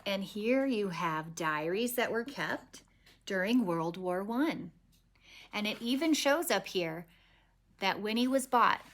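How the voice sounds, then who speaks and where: A woman reading a children's picture book aloud expressively, with dramatic pauses. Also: medium pitch, expressive, a bit bright. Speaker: story reader.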